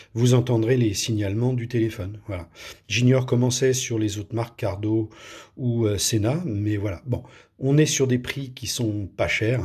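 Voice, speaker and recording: A man's voice: mid-range voice, darkish tone. Man giving a hesitant, unscripted product review. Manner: hesitant, searching for words.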